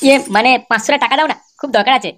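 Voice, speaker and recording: A flustered, evasive young cartoon husband played by a man. He stammers and wheedles at a very rapid pace, with clear noise behind him. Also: extremely high pitch. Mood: wheedling, evasive. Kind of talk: comedy cartoon dialogue.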